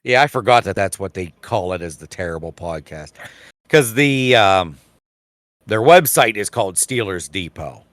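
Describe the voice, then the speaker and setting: Rambling podcast co-host, slightly low voice. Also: male voice, animated, moderate pace, a bit bright, no echo. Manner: rambling, many fillers and restarts. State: losing his voice, clearing his throat. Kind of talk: casual chat.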